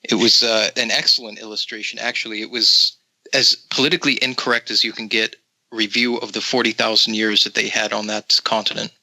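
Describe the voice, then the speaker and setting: A man from the Netherlands, a guest on a podcast, chatting casually and telling stories with frequent pauses. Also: lowish voice, low-quality recording. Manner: relaxed storytelling with frequent pauses.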